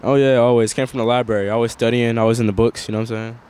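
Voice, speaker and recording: A man's voice: mid-range voice, level tone, rapid-fire, darkish tone, clean tone. A man answering as a guest in a casual interview, hedging with lots of "you know" and "um.".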